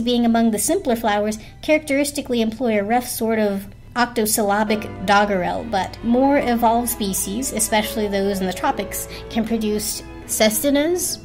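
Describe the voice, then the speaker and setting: A woman's voice: on the high side, normal speed, few pauses, faint music behind. A woman reading a storybook aloud as a dramatic narrator.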